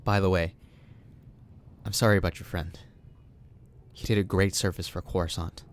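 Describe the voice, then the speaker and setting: An actor playing a calm, measured Republic official who speaks in a dry, even way. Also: male voice, deep voice, natural voice. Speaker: official, son of the corporate boss. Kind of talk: fan audio drama acting.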